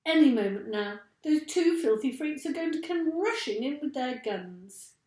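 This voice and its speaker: Woman putting on an excitable, bossy monkey dad, echoey. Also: sits high, moderate pace, pitched above the performer's own voice. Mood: frantic, urgent. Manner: barks shouted orders. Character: excitable bossy male monkey father, frantic and gleeful. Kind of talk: children's storybook character voice. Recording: echoey room.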